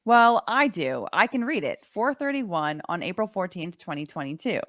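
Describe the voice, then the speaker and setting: A woman's voice: fairly low pitch, sing-song, normal speed, fairly bright tone, no room sound. Woman playing a blunt judge, dry close sound. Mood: firm, impatient. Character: brisk, no-nonsense female trial judge. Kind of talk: dramatic reading of a court transcript.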